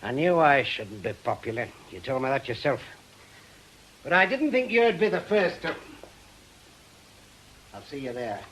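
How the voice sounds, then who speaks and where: A man acting in a radio drama as a bitter, accusing widowed ex-policeman with a British accent and a husky, forceful voice. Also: mid-range voice, big pitch swings, a little slow, long pauses, a little hiss or hum, low-quality recording. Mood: bitter, subdued. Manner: insistent, accusatory, blunt. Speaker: radio actor.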